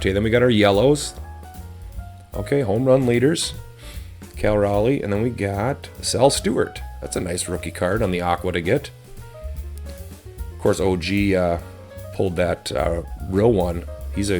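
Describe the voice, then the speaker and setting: A man with a slightly hoarse voice casually chatting as he opens card packs on his channel, relaxed and rambling with frequent ums. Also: deep voice. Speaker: host of a card-opening channel. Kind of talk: casual chat while opening card packs.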